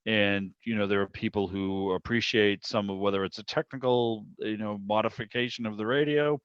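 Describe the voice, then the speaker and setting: Low-voiced man, dull roomy sound. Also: fairly even intonation, moderate pace, smooth. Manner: rambling, anecdotal storytelling. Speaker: radio show producer and interviewer. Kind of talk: casual hobby club chat. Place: video call. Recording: dull, roomy video-call audio.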